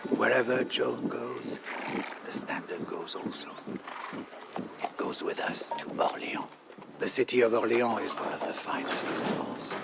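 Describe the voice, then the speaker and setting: A man's voice: lowish voice, gravelly. A man playing a weary, devoted French soldier who solemnly recounts campaigns in a game's dramatic narration. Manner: solemn, deliberate storytelling. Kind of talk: dramatic scripted narration for a game story. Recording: music behind the voice. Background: music.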